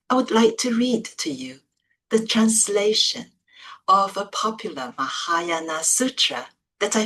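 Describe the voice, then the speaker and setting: A woman reading scripture in a measured, deliberate way, phrase by phrase with pauses between them. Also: medium pitch, a bit bright, poor audio. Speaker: Buddhist monastic, reader. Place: office.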